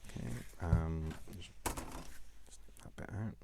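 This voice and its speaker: A man's voice: a bass voice, slow pace, noisy background. A British man hosting a casual craft tutorial, chatting in a rambling, jokey way as if to himself. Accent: British English.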